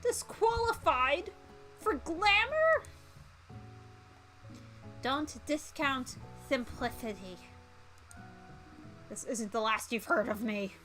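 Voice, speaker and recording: A woman playing a vain, flamboyant culinary student in a visual novel, with a drawn-out, showy delivery. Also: sits high, lively intonation, sharp tone, faint music behind, heavily acted. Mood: dejected, defiant.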